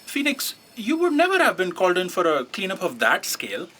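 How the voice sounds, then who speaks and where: A woman's voice: medium pitch, wildly swooping pitch, fairly quick, noisy background. A woman playing a dry, dismissive, deflating colleague in a comedic sci-fi audio drama. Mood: sardonic, dismissive. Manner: sardonic, dismissive.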